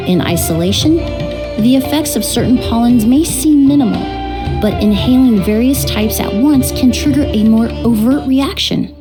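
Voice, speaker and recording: A graceful young perfumer from a fantasy game, voiced by a woman, her voice a touch high and her manner poised and polite. She explains things patiently and calmly. Clear game music runs underneath. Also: normal speed, close to an everyday voice. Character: young female perfumer, calm and courteous. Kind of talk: game voice acting. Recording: music behind voice.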